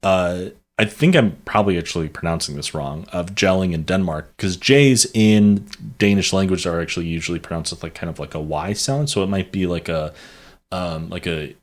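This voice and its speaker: Low-voiced man rambling through a history topic. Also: moderate pace. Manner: rambling, many fillers and restarts, self-correcting. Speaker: podcast co-host presenting the topic. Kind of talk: casual history podcast chat.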